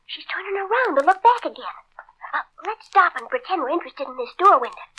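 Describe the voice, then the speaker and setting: A woman playing a plucky, sharp-witted young girl in a 1940s radio serial, earnest and eager as she reasons things out. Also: very high-pitched, sing-song, brisk, full character voice. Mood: cautious, hushed. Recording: noisy old 1940s radio recording.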